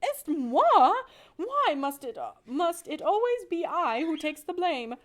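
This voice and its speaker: A woman's voice: high-pitched, big pitch swings, unhurried, voice pushed up. A woman voicing a haughty, dismissive mother mouse with a mock-French manner. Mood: indignant.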